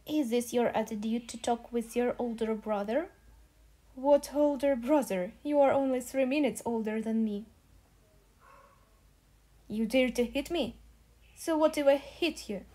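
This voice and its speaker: A woman's voice: fairly high pitch, unhurried, long pauses, dark tone. A woman voicing a teasing, quarrelsome rich young man in a manga dub.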